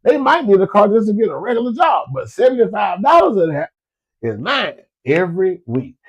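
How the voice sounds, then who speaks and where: A man's voice: high-pitched, very animated, moderate pace, slightly rough, muffled recording. A man, stuffed up from a cold, giving folksy business advice to his listeners in a casual, repetitive way. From Florida. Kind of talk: casual motivational talk to listeners.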